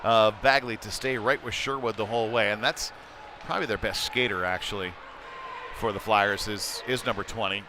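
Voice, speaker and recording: A man's voice: fairly low pitch, steady tone, moderate pace. A man calling live ice hockey play by play in short, clipped phrases. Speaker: play-by-play commentator. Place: indoor ice rink. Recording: noisy rink ambience behind voice.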